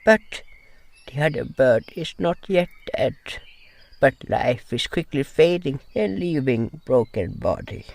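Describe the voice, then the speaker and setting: Old amphibian healer, slow and creaky. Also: male voice, mid-range voice, animated. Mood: sorrowful. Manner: halting broken grammar. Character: old one-eyed giant amphibian healer, sorrowful and gentle.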